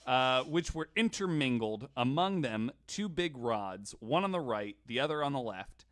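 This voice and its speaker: A man's voice: medium pitch, lively intonation, moderate pace, fairly bright tone. A man hosting a podcast in casual storytelling style, irreverent and sweary, often stammering and repeating words. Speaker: podcast host. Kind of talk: casual storytelling chat, sometimes reading quotes aloud.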